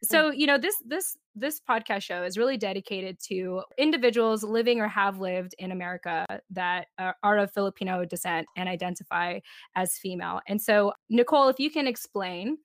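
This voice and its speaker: A woman's voice: mid-range voice, normal speed, no room sound. A woman hosts a podcast in a loose, conversational way. She repeats words and laughs as she steers the talk. The sound is dry and close. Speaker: podcast host. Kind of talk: casual podcast hosting.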